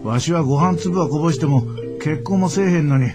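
An actor playing an exasperated middle-aged father in a radio drama, gruff and flustered, with a Kansai accent. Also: a little high, a little fast, over music, close to an everyday voice. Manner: gruff, stammering in disbelief, repeating himself.

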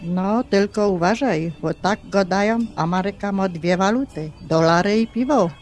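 An actress playing a chatty middle-aged mother in a radio drama, speaking in a hushed, colloquial, teasing way. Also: medium pitch, moderate pace, lightly acted.

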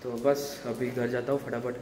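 A man's voice: mid-range voice, flat delivery, fairly quick, heavy background noise. A young man talking casually to his phone camera in a gym, reporting his sets and weights, a little out of breath. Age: young adult. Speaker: fitness vlogger. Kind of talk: casual workout vlog narration. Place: indoor gym. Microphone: handheld phone camera. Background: loud gym music.

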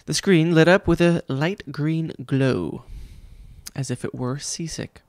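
A man's voice: on the high side, slow, a little mellow, no echo. A father reading a children's storybook aloud to his child in an audiobook style.

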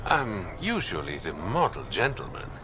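Suave, low-voiced smuggler. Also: male voice, wildly swooping pitch, moderate pace, a crowd in the background. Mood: teasing, confident. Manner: smooth, sly, teasing, confident. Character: suave, roguish adult male smuggler and informant. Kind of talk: video game dialogue acting.

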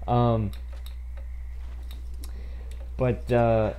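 Young man with mellow voice, chatty streamer. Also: medium pitch, little pitch movement, extremely slow. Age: 20s. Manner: rambling, many fillers and restarts, reads chat aloud. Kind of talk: casual chat while gaming. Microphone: headset.

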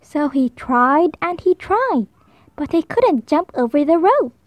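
A woman reading a children's picture book aloud, slowly and expressively, with higher voices for the animals. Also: no echo. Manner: slow, expressive, high voices for animal lines. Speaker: storybook reader. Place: indoors at a table, book held to camera.

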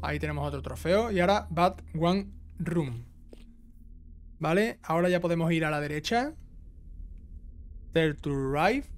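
A man's voice: on the high side, lively intonation, a little slow, pauses often. A man giving a casual step-by-step gameplay walkthrough, instructing and checking in with the listener as he goes. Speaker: video game guide host. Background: game music.

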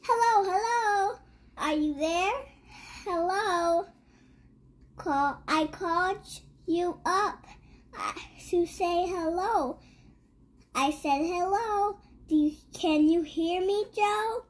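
A child reading a rhyming picture book aloud in a halting way, sounding out and repeating words. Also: very high-pitched. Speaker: young reader.

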